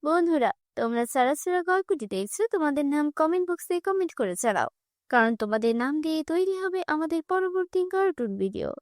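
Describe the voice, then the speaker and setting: A woman's voice: sits high, very animated, a little fast, dry recording, clean studio sound. A woman narrating a children's fairy tale from a script.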